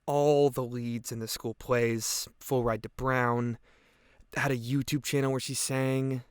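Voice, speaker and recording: Young man acting as a thoughtful high school boy, caring, American accent, average male pitch, dry studio-close sound, audio play. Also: level tone, unhurried, smooth, no room sound, lightly acted. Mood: reflective. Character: caring, thoughtful teenage boy speaking of his friend. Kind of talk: audio drama testimony, naturalistic.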